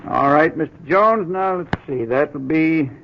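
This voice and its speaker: Hesitant folksy trucking clerk. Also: male voice, fairly high pitch, a little slow, vocal fry, background noise. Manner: hesitant, full of uhs, ungrammatical. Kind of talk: 1940s radio acting. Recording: muffled old radio broadcast.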